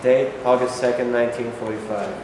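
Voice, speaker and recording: A man's voice: mid-range voice, fairly even intonation, a little fast. A man reading out stage directions at a staged play reading. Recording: very echoey, noisy hall.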